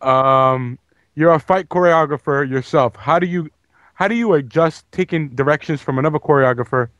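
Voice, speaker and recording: A man's voice: fairly high pitch, moderate pace, fairly bright tone, no echo, dull audio. A man co-hosting an online show, reading out viewer questions with hesitant fillers.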